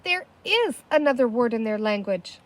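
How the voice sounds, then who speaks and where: A woman voicing an anxious, excitable young girl in a children's storybook, exclaiming urgently. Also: a little high, normal speed, close to an everyday voice.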